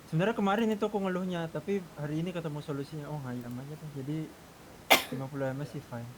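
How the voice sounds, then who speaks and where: Male gamer, Indonesian accent, rapid informal delivery, rumbly noisy voice chat. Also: steady tone. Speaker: gaming group member in an online roleplay session. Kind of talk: casual colloquial gaming chat.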